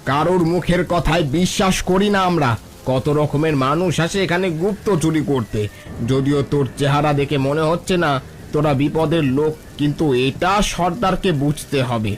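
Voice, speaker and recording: A man's voice: a little high, moderate pace, slight background noise, natural voice. A man playing a suspicious, threatening forest bandit who barks orders in a cartoon. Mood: suspicious, stern. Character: menacing forest bandit, suspicious underling of the chief. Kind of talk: cartoon voice acting.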